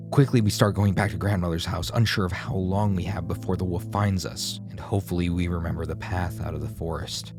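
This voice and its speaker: Man, low-pitched voice, ominous scripted horror narration, dramatic storyteller delivery, prominent music behind. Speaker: video essay narrator.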